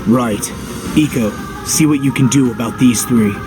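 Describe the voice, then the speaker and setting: An actor playing a brooding, world-weary young hero in a video game, terse, dry and understated. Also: male voice, medium pitch, normal speed, lightly acted. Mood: resolute. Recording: background music. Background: game music.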